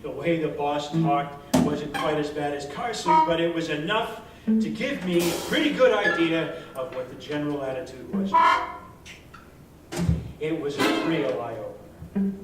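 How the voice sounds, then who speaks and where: A man's voice: a little high, measured pace. An American man giving a dramatic first-person reading of a hardboiled short story in a colloquial, streetwise manner. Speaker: story reader. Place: indoor arts venue, live performance. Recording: echoey live venue, distant mic, music behind. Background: live guitar, harmonica and percussion music.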